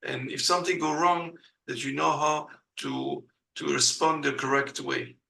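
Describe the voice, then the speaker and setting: A man from Belgium speaks as an in a casual interview. His voice is gravelly yet bright and forward. He talks hesitantly and fills his pauses with plenty of "uh". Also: a little high, expressive, slight background noise, low-quality recording. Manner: hesitant, many uh fillers, non-native grammar. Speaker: guest.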